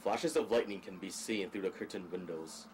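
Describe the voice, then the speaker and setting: A man serves as narrator, reading scripted scene directions in an audio drama. His voice is fairly low. Noticeable noise runs behind it. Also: normal speed, darkish tone. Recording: clearly noisy background.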